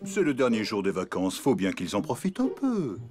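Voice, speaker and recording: Wildly expressive, easygoing elephant dad. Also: male voice, on the low side, fairly quick. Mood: gentle, amused. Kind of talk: French cartoon dubbing. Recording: music playing behind the voice.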